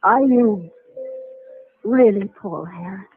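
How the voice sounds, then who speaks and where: Earnest sweetheart, tinny old radio. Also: female voice, mid-range voice, slow pace. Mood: anxious, tender. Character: earnest, lovestruck, troubled young female caregiver. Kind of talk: mid-century American radio melodrama acting. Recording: tinny vintage radio recording, music behind.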